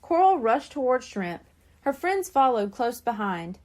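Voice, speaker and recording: A woman reading a children's story aloud as scripted, expressive narration. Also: a little high, measured pace.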